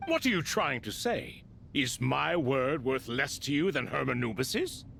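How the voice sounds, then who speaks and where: Gravelly, blustering hot-tempered king. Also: medium pitch, animated, measured pace, a bit bright, slight background noise. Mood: indignant, furious. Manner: indignant, blustering. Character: hot-tempered, indignant king of an ancient council. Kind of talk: dramatic video game voice acting.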